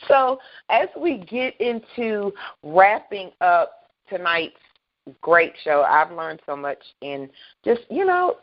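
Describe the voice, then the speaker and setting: A woman hosting a faith talk radio show, loud and booming, bold and animated, laughing often. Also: slow pace. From Southern United States. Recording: dull lo-fi sound.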